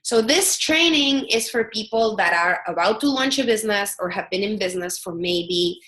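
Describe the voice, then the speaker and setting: A woman's voice: medium pitch, normal speed. Salvadoran lady in her 30s doing a business webinar, um-ing and restarting a lot, kinda echoey room. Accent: Salvadoran Spanish. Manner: earnest, steady, frequent fillers and restarts. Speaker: product strategist. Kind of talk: webinar presentation.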